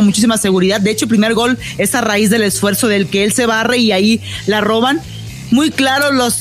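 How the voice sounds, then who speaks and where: A woman giving football match analysis as a sports analyst, in emphatic declarative phrases. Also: a little high.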